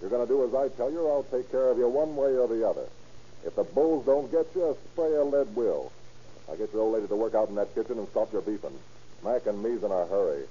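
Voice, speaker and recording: An actor playing a bullying, hard-boiled gangster who gives slangy orders in 1930s radio crime drama style. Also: male voice, mid-range voice, expressive, fast, warm tone, breathy edge. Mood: threatening. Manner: slangy, commanding, tough-guy talk. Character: bullying, menacing male gangster, hard-boiled gang boss. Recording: muffled old-time radio recording.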